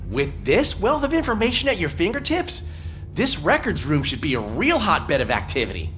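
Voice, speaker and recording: A slightly low female voice playing an enthusiastic police records clerk in a game. Her pitch moves around a lot as she chatters eagerly, with faint music behind her. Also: sing-song, normal speed, a bit bright. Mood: eager, chatty. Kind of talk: video game cutscene acting.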